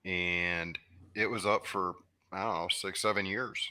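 A man's voice: low-pitched, steady tone, a little slow, pushed and bright, smooth. A man on a video call speaking bluntly in plain, casual talk.

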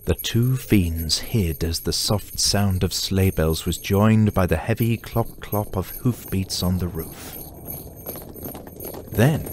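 A man playing the storyteller of a festive comic audio drama, narrating in a measured storybook way. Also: fairly low pitch, darkish tone, a little hiss or hum. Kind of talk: scripted narration.

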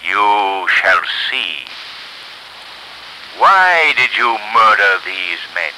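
Ominous invisible crime fighter from old radio, very sharp voice, slow and commanding. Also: male voice, fairly low pitch, sing-song, a little hiss or hum, exaggerated voice, rough recording. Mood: stern, accusing. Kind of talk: 1930s radio mystery acting.

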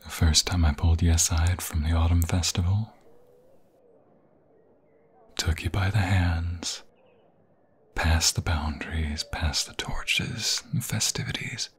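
Man acting a breathy, low-voiced, lovestruck vampire fiancé. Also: fairly even intonation, full character voice. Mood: soft, hopeful. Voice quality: breathy, husky, often whispering. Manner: halting, sentimental, flowery romantic phrasing. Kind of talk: intimate ASMR boyfriend roleplay.

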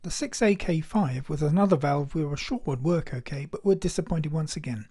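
Mellow, higher-pitched man narrating. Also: fairly quick, breathy edge. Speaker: presenter. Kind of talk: scripted technical narration. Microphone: voice-over.

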